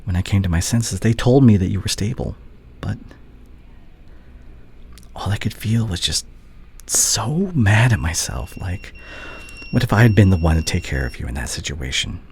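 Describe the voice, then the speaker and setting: A man playing a devoted, regretful doctor and anxious ex-boyfriend in an intimate ASMR audio roleplay, speaking haltingly and tenderly. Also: deep voice, animated, darkish tone, slight background noise. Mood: anguished, confessional.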